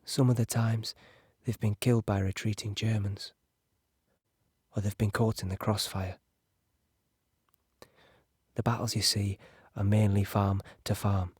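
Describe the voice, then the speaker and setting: British wartime soldier, earnest, reflective, sombre monologue, mid-pitched, dry close sound, audio drama. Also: male voice, flat delivery, a little slow, long pauses, darkish tone, husky, no room sound. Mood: grim, subdued. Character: sombre, conscience-troubled British soldier recounting the Normandy campaign. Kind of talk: dramatic first-person monologue in an audio play.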